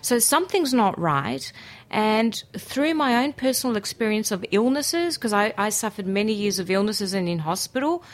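A woman's voice: medium pitch, lively intonation, normal speed. A woman with an Australian accent whose first language is Greek, telling her story enthusiastically as a guest in a casual interview. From Greece and Australia. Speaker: radio interview guest, cookery teacher and food writer.

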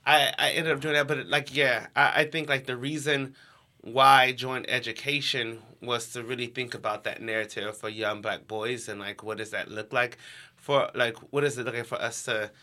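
Bright-voiced young American man, casual. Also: mid-range voice, fairly even intonation, moderate pace. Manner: informal, heavy use of "like". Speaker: interview guest, education nonprofit co-founder. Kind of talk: casual conversational interview.

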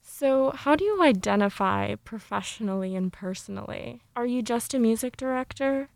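A woman's voice: on the high side, animated, normal speed, creaky, no room sound, clean studio sound. A young woman, a student producer, interviewing in a chatty, self-deprecating way. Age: young adult. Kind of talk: casual interview.

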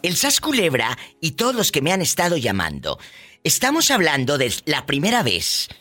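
A man with a Mexican accent hosting a call-in radio show, teasing callers and laughing loudly. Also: a little high, wildly swooping pitch, normal speed. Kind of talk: playful radio call-in banter.

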